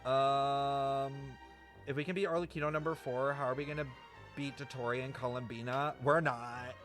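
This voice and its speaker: A man's voice: mid-range voice, fairly even intonation, normal speed, fairly bright tone, faint music behind. A man chatting casually on a game stream in a slangy, self-deprecating, talkative way. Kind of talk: casual live stream chat reacting to a game.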